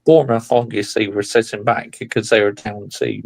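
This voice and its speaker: A man's voice: fairly low pitch, steady tone, moderate pace, vocal fry, dry recording. A man chatting as a guest, blunt and matter-of-fact, calling others mate. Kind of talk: casual chat.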